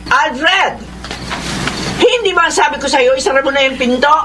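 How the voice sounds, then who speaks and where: A woman's voice: sits high, moderate pace. A woman playing an irritable, thrifty, middle-aged mother who nags sharply in a Filipino radio drama. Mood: scolding. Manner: nagging, sharp-tongued. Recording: noisy radio drama with background ambience.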